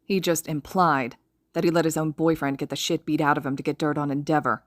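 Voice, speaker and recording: A woman voicing a brooding, scarred young man who mutters low and quiet in a fan audiobook. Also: fairly even intonation, fairly quick, natural voice. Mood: bitter.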